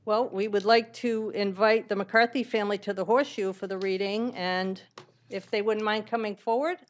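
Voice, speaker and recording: A woman presiding at a city board meeting, giving gentle, halting ceremonial remarks in a council chamber. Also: mid-range voice, steady tone.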